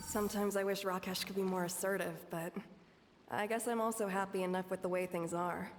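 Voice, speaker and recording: A woman's voice: mid-range voice, steady tone, fairly quick. A musing townswoman, echoing reverb. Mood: wistful. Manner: musing to herself. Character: resigned, contented townswoman, inner thoughts. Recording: long reverb over soft music.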